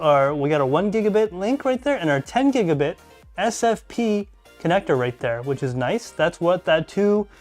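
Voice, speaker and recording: A man hosting a casual live stream, talking through his network gear and reading chat aloud as he thinks out loud. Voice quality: deep voice. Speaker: podcast host live streaming. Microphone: desk mic, level adjusted mid-stream.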